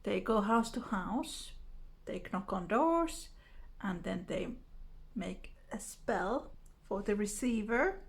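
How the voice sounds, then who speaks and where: Creaky-voiced Finnish-accented woman chatting. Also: mid-range voice, expressive, slow. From Finland. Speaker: vlogger. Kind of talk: casual chat to camera, answering tag questions.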